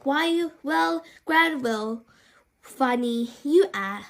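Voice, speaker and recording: A woman voicing a kindly, eager grandfather in a children's story, speaking emphatically and haltingly. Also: sits high, expressive, heavily acted.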